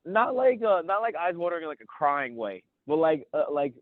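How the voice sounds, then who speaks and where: A man in his thirties with a cold, chatting as a podcast co-host and rambling excitedly through his stories. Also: fairly high pitch, a little fast, dry recording. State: sniffly from a cold. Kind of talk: casual chat. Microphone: phone. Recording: tinny phone line.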